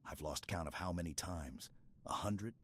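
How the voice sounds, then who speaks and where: A man playing a stoic, earnest super-soldier in an intimate sci-fi ASMR roleplay, speaking in a halting, hushed way with dramatic pauses. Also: low voice. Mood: weary, sincere.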